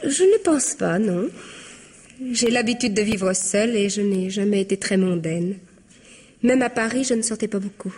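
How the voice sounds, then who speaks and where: A patient, reserved woman employee in an old-style French radio drama. Her voice is husky and medium in pitch, and she speaks with polite, discreet hesitation. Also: expressive, moderate pace, darkish tone.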